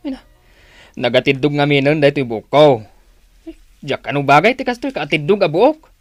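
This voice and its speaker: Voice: mid-range voice, expressive, normal speed, no echo, studio quality, natural voice. A performer playing a grumbling, reluctant spouse with a Filipino accent in a radio comedy drama.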